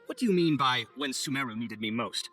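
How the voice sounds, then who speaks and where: A man's voice: mid-range voice, lively intonation, brisk, sharp tone, soft background music. A man playing a proud, hot-tempered young architect in a video game, speaking with theatrical, haughty exasperation.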